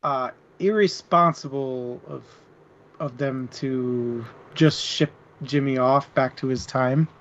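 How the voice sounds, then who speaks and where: A man's voice: medium pitch, takes it slowly, a bit bright, muffled recording. A man co-hosting a casual book discussion, speaking informally and hesitantly as he searches for words. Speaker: book club podcast co-host.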